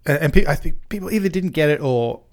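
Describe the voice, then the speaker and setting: A man co-hosting a podcast, chatting casually about movies in a halting, questioning way as he thinks aloud. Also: on the high side, animated. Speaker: podcast co-host.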